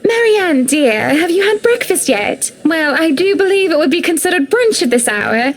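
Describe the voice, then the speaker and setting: Very high-pitched, refined lady of the house. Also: sing-song, normal speed, slight background noise, full character voice. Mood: gracious, inviting. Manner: genteel, formal, gently commanding. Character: refined, insistent married lady of a grand house. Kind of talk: visual novel voice acting.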